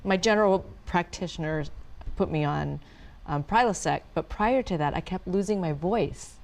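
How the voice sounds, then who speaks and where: Low-voiced lady hosting, chatty, laughs a lot. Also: moderate pace, vocal fry, darkish tone. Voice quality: deep voice. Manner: chatty, laughs often, personal asides. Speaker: host. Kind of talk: casual talk show chat.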